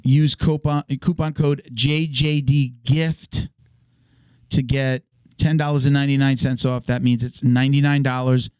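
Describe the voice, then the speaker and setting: A hoarse man co-hosting a podcast, chatting casually and stumbling through sponsor reads. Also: mid-range voice, level tone, darkish tone, dry recording. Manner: reads ads in a quick, stumbling way. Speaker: podcast co-host.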